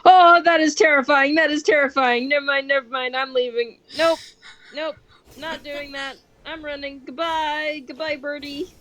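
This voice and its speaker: A woman's voice: high voice, fairly even intonation, moderate pace, a bit bright. A young woman streaming a game, chatting casually and exclaiming readily as she reacts to play. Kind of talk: casual co-op gameplay chat.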